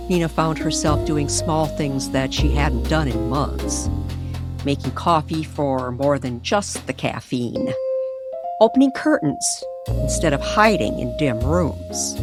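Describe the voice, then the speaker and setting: A woman narrating a scripted, old-time radio style mystery story with deliberate, suspenseful phrasing. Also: low-pitched. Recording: music playing behind the voice. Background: music.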